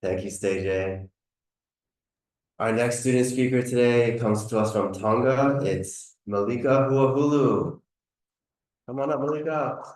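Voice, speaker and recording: A man's voice: medium pitch, unhurried, a little mellow. Give me a male host calling students up to the stage, picked up from afar. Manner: friendly, joking introductions. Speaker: event host. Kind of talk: introducing speakers at a live event. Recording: roomy hall, voice from far off.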